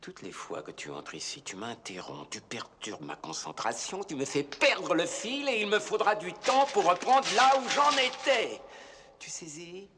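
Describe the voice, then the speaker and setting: An actor playing an irritable, controlling writer who speaks in clipped, condescending, emphatic phrases on a noisy film track. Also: male voice, high voice, sing-song, a little slow, pushed and bright, full character voice. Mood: seething, sarcastic. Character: irritable controlling male writer, bitterly sarcastic, simmering with rage.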